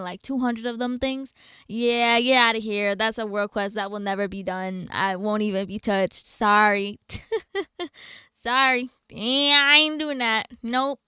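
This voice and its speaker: A woman's voice: mid-range voice, fairly bright tone, clear, resonant tone, dry recording, studio quality. A woman livestreamer chatting casually with her viewers in a playful, slangy, bantering manner. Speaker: video game livestreamer.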